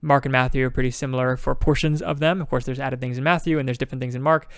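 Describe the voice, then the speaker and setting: A man co-hosting a podcast, talking quickly in a casual discussion and thinking aloud with frequent restarts. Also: medium pitch, fairly even intonation, clean tone, no echo, clean studio sound. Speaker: podcast co-host.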